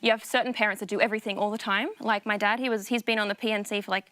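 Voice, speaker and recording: A woman's voice: mid-range voice, steady tone, very fast, bright, forceful tone, no echo. A woman hosting a TV panel show, chatting and presenting in a studio.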